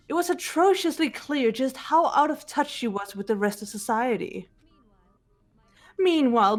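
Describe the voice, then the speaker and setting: A woman voicing a haughty, theatrical teenage villain with a pompous, scornful manner in a fan-acted video game. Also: high voice. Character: haughty, theatrical female teen villain, a cold fashionista. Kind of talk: amateur voice acting of a video game.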